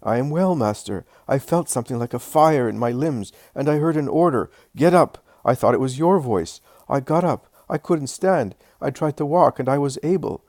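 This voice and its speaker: A man's voice: mid-range voice, expressive, normal speed, hardly any pauses, a little mellow, no echo, studio quality, voice pushed up, natural voice. A man voicing a grateful old slave, telling his story in eager bursts. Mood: overjoyed. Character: old male slave, newly healed, grateful.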